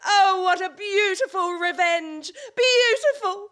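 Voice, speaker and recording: A woman's voice: very high voice, slow, a bit bright, heavily acted. A woman playing an indignant, vengeful wife in a farcical radio play, declaiming theatrically with many exclamations. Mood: gleefully vengeful. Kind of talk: stage farce performed as radio play.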